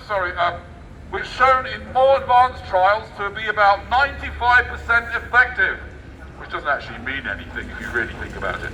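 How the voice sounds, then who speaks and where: A high-voiced Englishman performs a satirical read-aloud outdoors, his tone very bright and cutting. He declaims sarcastically, then slips into muttered asides. The mic is some way off, with faint traffic noise around him. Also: recorded from a distance, rough recording. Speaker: street performer reading a newspaper aloud. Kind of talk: satirical public read-aloud with ad-libbed commentary. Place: outdoor public street.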